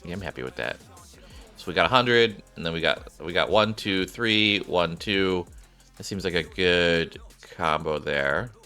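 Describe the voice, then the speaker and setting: A man's voice: low voice, a little slow, bright, forceful tone, clean tone, faint music behind. A man giving casual gameplay commentary as he streams a game. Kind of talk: casual gameplay commentary with read-aloud dialogue.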